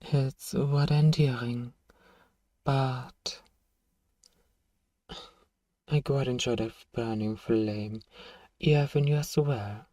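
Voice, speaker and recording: A man playing a powerful, manipulative vampire in an ASMR roleplay, theatrical and commanding, with a softly menacing, condescending manner. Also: mid-range voice, steady tone, slow, long pauses, no room sound, distant mic. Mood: coaxing. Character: powerful suave manipulative male vampire, commanding yet coaxing. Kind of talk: ASMR roleplay, scripted hypnotic monologue.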